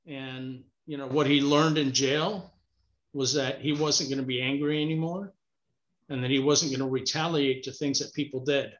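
A man from Cuba, a guest author, telling stories in a casual interview over a remote call and talking with his hands. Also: medium pitch. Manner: animated storyteller, talks with his hands. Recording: echoey, muffled call audio.

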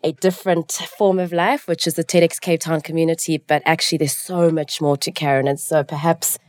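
A woman's voice: low-pitched, level tone, fairly quick, a little mellow, no echo. A woman hosting a casual interview in a studio, speaking in an effusive way. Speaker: podcast host. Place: recording studio.

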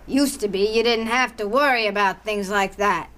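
A woman's voice: mid-range voice, normal speed, fairly bright tone, close to an everyday voice. A woman playing a sweet, nosy older neighbor in an audio drama, polite, fussy and chatty.

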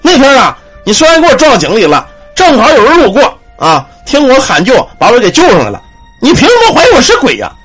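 A man doing a shrill, furious old man. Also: sing-song, moderate pace, fairly bright tone, breathy edge, faint music behind, heavily acted. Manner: scolding, accusing. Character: furious, bullying old man mistaken for a ghost.

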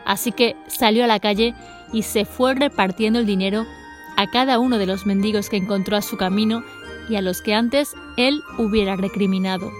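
A woman's voice: mid-range voice, moderate pace, faint music behind. A woman reading scripted storybook narration as the narrator of an audio drama.

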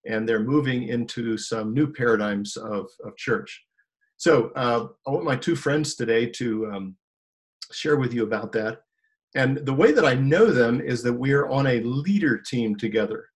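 A man hosts a faith-themed interview over a video call. His voice is mid-pitched, and he pauses often with ums and uhs as he introduces and questions his guests. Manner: hesitant, many ums and uhs. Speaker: host.

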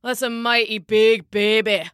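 A woman voicing a boastful, cowardly male Scottish giant in a storybook tale, in a gruff, blustering way. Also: fairly high pitch, flat delivery, unhurried, a bit bright, no room sound, clean studio sound.

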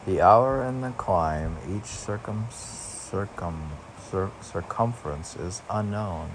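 A man reading poems aloud informally as a poet, with halting, musing asides between the lines. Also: sits low, a little hiss or hum, poor audio.

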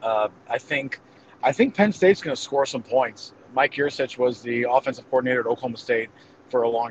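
A man's voice: mid-range voice, lo-fi sound, slight background noise. A man giving casual football predictions as a guest analyst, hesitating and thinking aloud as he goes. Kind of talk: casual game-prediction commentary.

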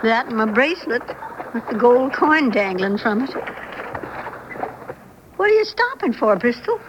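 High-voiced skeptical frontier wife. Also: female voice, big pitch swings, measured pace, dull audio. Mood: despairing. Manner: incredulous, questioning. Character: skeptical, plain-spoken frontier homesteader wife. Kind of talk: old-fashioned Western radio drama acting. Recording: noisy 1970s radio drama broadcast.

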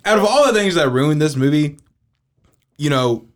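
A man co-hosting a podcast, chatting casually about a movie, blunt and quick to agree, with the odd swear word. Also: on the high side, expressive, a little hiss or hum. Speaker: podcast co-host. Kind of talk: casual movie review chat.

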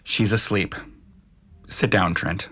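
A man playing a stern, protective middle-aged uncle in an audio drama, lecturing in a measured, firm way. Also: lowish voice, steady tone, a bit bright. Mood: stern, disappointed.

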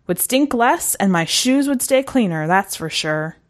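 A woman's voice: medium pitch, animated, measured pace, natural voice. A woman voicing a blunt, skeptical, no-nonsense working mother in a short story, with a dismissive manner. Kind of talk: storybook character dialogue.

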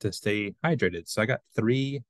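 Low-voiced man in his forties chatting casually. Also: normal speed, no room sound, clean studio sound. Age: 40s. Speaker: podcast co-host. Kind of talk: casual chat about pop culture.

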